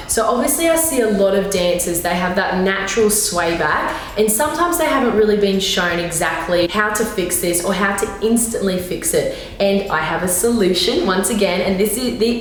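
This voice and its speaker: Young Australian woman, ballet coach, a touch raspy, encouraging and instructive; very roomy echo. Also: medium pitch. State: slightly raspy voice. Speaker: ballet teacher. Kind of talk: tutorial talking to camera. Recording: very echoey room, faint background noise.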